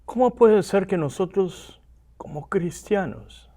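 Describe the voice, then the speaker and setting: Slow-talking Argentine man lecturing. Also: high voice. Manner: poses rhetorical questions to the class, animated. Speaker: seminary lecturer. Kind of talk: classroom lecture.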